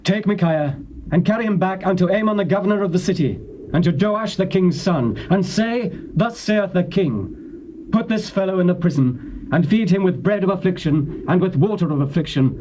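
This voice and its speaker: Imperious, high-pitched biblical king. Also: animated, normal speed, darkish tone, rough recording. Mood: commanding. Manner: commanding, declamatory. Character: imperious, resentful king of ancient Israel. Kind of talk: dramatized Bible reading, classical stage acting. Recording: old cassette with faint noise.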